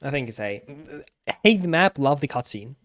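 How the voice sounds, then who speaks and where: A man streams casual commentary at a medium pitch. He speaks in a halting way, often circling back to repeat a phrase while he makes up his mind aloud. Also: sing-song, fairly bright tone. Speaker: livestreamer. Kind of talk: casual livestream commentary, ranking game cutscenes.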